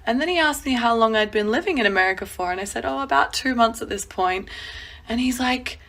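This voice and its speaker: A woman from Australia with an Australian accent, telling a story to camera with dry, deadpan humor. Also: a little high, brisk, vocal fry.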